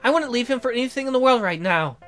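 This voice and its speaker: A man's voice: very high voice, lively intonation, quick pace, a bit bright, faint music behind, pitched above the performer's own voice. A man voicing a tender, guilt-ridden young woman from a romance visual novel, speaking in an earnest, pleading way. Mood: apologetic, earnest.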